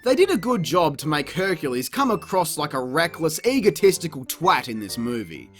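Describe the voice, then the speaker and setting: A man's voice: a little high, very animated, normal speed, fairly bright tone, soft background music. A man narrating a scripted comedic review in a sarcastic, crude, mocking manner. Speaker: video reviewer.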